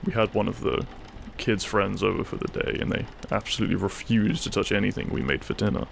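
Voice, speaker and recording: A man playing a devoted, wry husband in an intimate audio roleplay, speaking in a dry, measured, fond way. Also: fairly low pitch, steady tone, vocal fry, a little hiss or hum. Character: devoted, wry British husband sheltering a guest.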